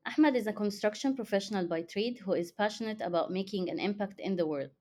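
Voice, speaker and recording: A woman's voice: medium pitch, brisk, darkish tone. A woman hosting a podcast, speaking in a conversational interview style. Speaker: podcast host.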